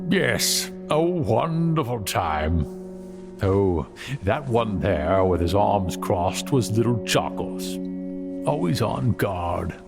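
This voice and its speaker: A wise old dragon in a fantasy audio drama. He is gentle and measured, with a deep, creaky voice that wanders fondly through memories over a music bed. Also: very animated, exaggerated voice. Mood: fondly nostalgic. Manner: measured, halting, fond. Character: wise old male gold dragon mentor, gentle, reminiscing.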